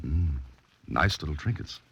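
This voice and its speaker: A man's voice: a bass voice, animated, unhurried, fairly bright tone, slightly rough, no echo, muffled recording, full character voice. An American actor playing a tough, wry amateur sleuth who narrates his own case in a clipped 1940s radio mystery style. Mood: dryly amused. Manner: clipped, wry, hard-boiled. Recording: old radio recording with music.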